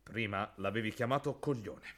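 An actor playing a crude, gleeful, bullying interrogator in a theatrical radio drama, moving between hushed menace and loud outbursts. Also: male voice, lowish voice, moderate pace, lightly acted. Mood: scolding.

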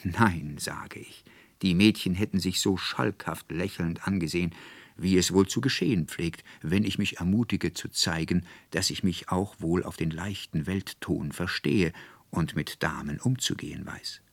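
Man acting a gravelly, hapless young student. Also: sits low, measured pace, dry recording. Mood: wistful, indignant. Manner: excitable, theatrical monologue with mimicked polite phrases. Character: hapless, self-pitying young male student lamenting his luck.

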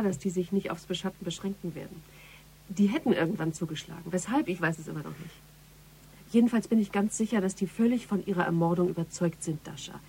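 A woman's voice: on the low side, creaky, warm tone, a little hiss or hum. An actress playing a shrewd, self-possessed female police investigator in a German radio crime drama. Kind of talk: German radio play dialogue.

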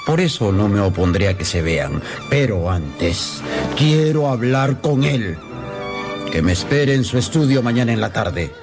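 Deep, haughty old gentleman. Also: big pitch swings. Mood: grudging, commanding. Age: older. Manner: courtly, haughty, deliberate. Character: don Lope, proud, shrewd, disdainful elderly gentleman. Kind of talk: Spanish-language radio drama acting. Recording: music behind the voice.

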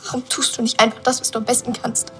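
An emotional schoolgirl with a very high voice acted by a woman in a children's audio drama. She sounds hurt and stubbornly defensive, with soft music underneath. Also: little pitch movement, fast talker, close to an everyday voice. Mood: angry, tearful.

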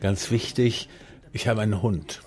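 An actor playing a hesitant, good-natured older man from Hamburg on a date, halting and self-deprecating, in a naturalistic radio drama. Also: fairly low pitch, steady tone, darkish tone, close to an everyday voice. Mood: hesitant, easygoing. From Hamburg, Germany.

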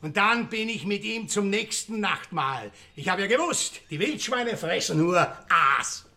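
Radio crime drama: cornered Austrian village killer, bitter and indignant, forceful high voice with gravelly edge. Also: male voice, animated, unhurried, full character voice. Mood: gloating, bitter. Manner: indignant, sneering, ranting. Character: bitter cornered village murderer, husband abandoned by wife.

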